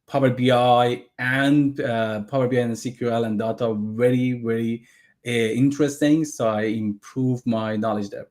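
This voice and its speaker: A man's voice: medium pitch, darkish tone. A man from Iran with an Iranian accent, a native Persian speaker, eagerly giving a technical presentation over a video call and often restarting his phrases. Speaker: guest presenter.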